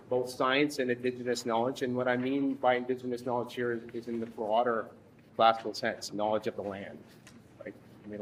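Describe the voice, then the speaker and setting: A man's voice: mid-range voice, slight background noise, rough recording. Hesitant man presenting at a workshop. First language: English. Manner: hesitant, many restarts and fillers, self-correcting. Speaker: forestry instructor presenting at a workshop. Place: workshop room.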